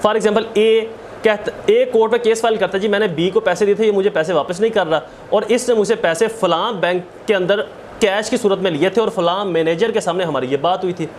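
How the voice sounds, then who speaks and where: A high-voiced man with a Pakistani accent delivers an explanatory lecture. He teaches step by step, restating key phrases and mixing formal read-out wording with plain examples. There is some noise in the recording. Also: brisk. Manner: didactic, repeats phrases for emphasis. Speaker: law lecturer.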